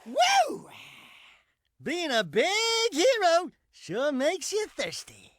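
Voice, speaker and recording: A man's voice: wildly swooping pitch, extremely slow, fairly bright tone, no echo, clean studio sound, full character voice. An actor voicing a small, wisecracking furry male animal sidekick in a video game, brash, sarcastic and theatrical. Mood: cocky. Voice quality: squeaky, brash. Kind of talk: cartoonish video game acting.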